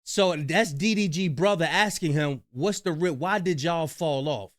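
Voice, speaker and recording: A man doing reaction commentary in a slangy, animated way, talking straight to his viewers. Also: a little high, a little slow, no room sound, clean studio sound.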